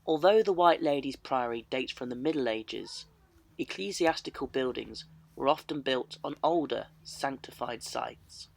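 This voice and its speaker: A man's voice: medium pitch, a little slow, vocal fry. A man narrating a travel story as a storyteller, speaking to camera outdoors with a wry, playful manner. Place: outdoors on a cycling trip.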